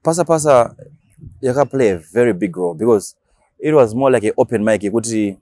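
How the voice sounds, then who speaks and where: A Shona-speaking man with a Zimbabwean accent, talking casually as an interview guest and explaining things in animated, slangy language with acted-out examples. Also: mid-range voice, a little fast, no room sound, studio quality. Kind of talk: casual interview talk mixing Shona and English.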